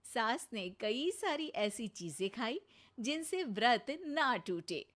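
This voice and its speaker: A woman's voice: fairly high pitch, sing-song, slow, a bit bright. A woman narrator reading a scripted cartoon moral story.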